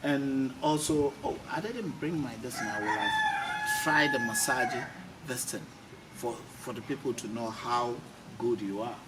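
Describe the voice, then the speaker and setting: Male interviewer, casual and encouraging, very varied pitch, set back from the mic. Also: animated, measured pace. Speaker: interview host. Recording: distant mic, clearly noisy background.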